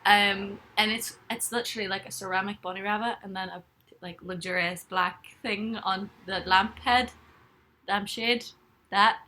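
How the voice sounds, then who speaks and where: A woman's voice: medium pitch, fairly even intonation, moderate pace, sharp tone, a little hiss or hum. A young woman live-streaming from bed, chatting casually and rambling as she reads viewers' comments aloud. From Ireland. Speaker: livestreamer. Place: bedroom, in bed.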